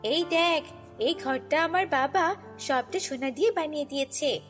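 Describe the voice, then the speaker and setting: A woman's voice: high voice, normal speed, a bit bright. A woman voicing a boastful, snobbish little rich girl in a children's fairy-tale cartoon, haughty and taunting. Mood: boastful, scornful. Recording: long reverb, music bed.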